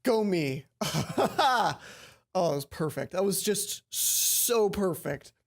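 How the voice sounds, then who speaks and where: A woman's voice: on the low side, lively intonation, slow. A woman hosting a let's play, mixing casual, sweary commentary with reading a visual novel's narration aloud.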